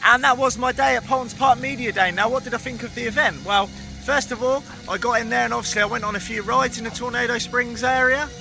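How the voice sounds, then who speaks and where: A man vlogging at a theme park in the evening, chatty and tired, his voice hoarse from a cold. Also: extremely high pitch, fairly even intonation, fast, over music, rough recording. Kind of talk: casual vlog to camera.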